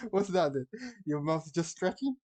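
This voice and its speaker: A man's voice: on the high side, big pitch swings, measured pace, a little mellow. A man giving casual, slangy, exclamatory commentary as a reaction video host.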